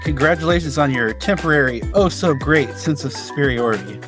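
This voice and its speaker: A man's voice: fairly high pitch, fairly quick, over music. A man, co-hosting a podcast in casual conversation. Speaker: podcast co-host. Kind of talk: casual chat.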